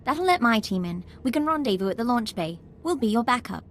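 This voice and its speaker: An actress playing a brisk, dryly wry female military squad leader in a video game. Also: on the high side, big pitch swings, a little hiss or hum. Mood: confident.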